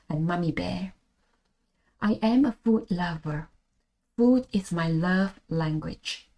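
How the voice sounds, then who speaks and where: A woman's voice: medium pitch, dark tone, rough recording. A middle-aged woman from Malaysia with a Malaysian English accent, hosting a scripted solo podcast in an earnest, read-aloud manner. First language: Bahasa Malaysia. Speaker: podcast host.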